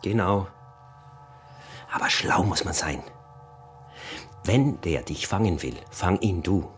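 An actor with an Austrian accent playing a cunning, insistent peasant smuggler and husband in a radio drama. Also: male voice, low voice, animated, takes it slowly, soft background music. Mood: coaxing, sly. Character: sly scheming peasant smuggler, husband, early 1900s. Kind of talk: radio drama acting in dialect.

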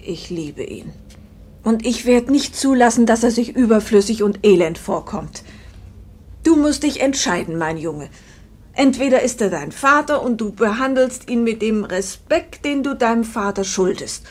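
A woman's voice: fairly high pitch, expressive, normal speed, a little mellow. Weary, protective older mother. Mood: firm, earnest. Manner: earnest, emphatic, pleading. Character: weary, protective older mother defending her exhausted husband. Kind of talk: 1950s German radio drama acting.

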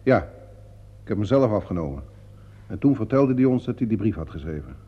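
An actor playing a self-assured, impatient theatre producer in a radio play, brusque and sardonic. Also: male voice, on the low side, sing-song, brisk, breathy edge. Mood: defensive, impatient. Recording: dull, muffled old radio recording.

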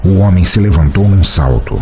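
A man with a Brazilian accent narrates a scripted fantasy tale. His voice is very deep and darkly mellow. Soft music sits underneath the storytelling. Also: lively intonation, moderate pace.

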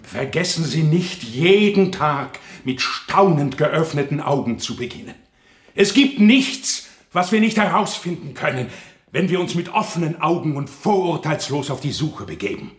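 A fired-up visionary professor lecturing in a radio play, highish voice, big echoey room. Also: male voice, big pitch swings, normal speed. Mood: rousing, inspired. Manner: rhetorical, solemn, rousing. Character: visionary, fervent male chemistry professor lecturing. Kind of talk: declaimed lecture in radio drama. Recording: echoing hall acoustic.

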